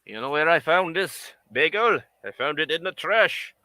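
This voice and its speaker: A man's voice: a little high, animated, moderate pace, sharp tone, no room sound, voice pushed up, heavily acted. A man voicing a snooty male French seagull food critic in a put-on French accent, drawing out his scornful lines.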